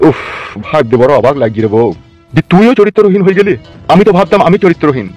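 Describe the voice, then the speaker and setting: A man plays a furious gangster politician in a homemade comedy cartoon. His voice sits fairly high and rattles along at extreme speed, crude and accusatory, over faint music. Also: very animated, fast talker, a little mellow. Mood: bitter. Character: furious foul-mouthed gangster politician back from feigned death. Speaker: cartoon voice actor. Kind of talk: amateur comedy cartoon dialogue.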